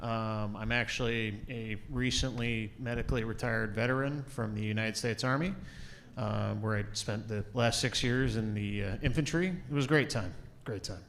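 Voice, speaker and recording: A young man, a student and army veteran, introducing himself loudly and casually in a meeting room. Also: fairly low pitch, normal speed, slight background noise. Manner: loud, casual, friendly.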